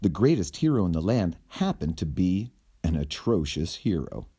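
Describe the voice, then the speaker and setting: A man reading a children's picture book aloud with theatrical, deliberate phrasing. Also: low-pitched, sing-song, mellow, husky. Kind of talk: animated children's storybook narration.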